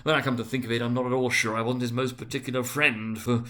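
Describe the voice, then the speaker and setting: A great fat man of business, as a male storyteller puts him on, bluff and loud. He is blunt and offhand, wholly unconcerned, like a well-fed character in a storybook. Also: mid-range voice, flat delivery, quick pace, lightly acted. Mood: bored, blunt.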